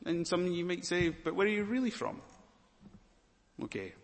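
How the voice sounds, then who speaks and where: A man with a Scottish accent preaching a sermon, moving between hushed and loud emphatic passages. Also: sits high, big pitch swings, normal speed, vocal fry, in a large hall. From Malawi, raised as Scottish.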